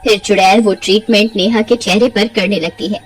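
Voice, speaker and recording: A woman's voice: mid-range voice, fairly even intonation, fairly quick, faint music behind, rough recording. A woman telling a story as a scripted narration.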